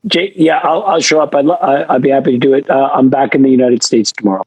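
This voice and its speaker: A man co-hosting a podcast late at night, hoarse after losing his voice, sounding tired. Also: medium pitch, quick pace, darkish tone, no echo, low-quality recording. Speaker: podcast co-host.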